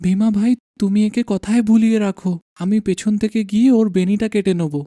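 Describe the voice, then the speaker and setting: An actor playing a bold, loyal village man in an animated folk tale, with a conspiratorial, urging manner. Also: mid-range voice, brisk, no echo, clean studio sound, close to an everyday voice. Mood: threatening.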